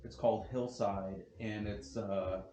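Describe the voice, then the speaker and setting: Mellow low-voiced man, echoey room. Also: steady tone, slow pace. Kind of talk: casual chat. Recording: echoey room with faint noise.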